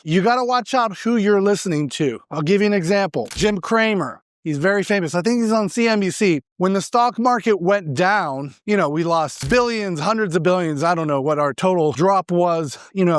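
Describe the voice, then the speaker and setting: A man's voice: high-pitched, clear, resonant tone, no room sound, studio quality. A man from Argentina speaking as a real estate agent, explaining things informally and emphatically, posing questions and answering them himself. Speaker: real estate agent giving market advice. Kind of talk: informal explanatory monologue to camera.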